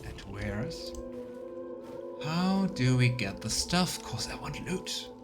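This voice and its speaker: A man's voice: medium pitch, big pitch swings, slow pace. A man giving casual let's play commentary, thinking aloud and murmuring to himself as he plays. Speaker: streamer playing a fantasy role-playing game. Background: game music.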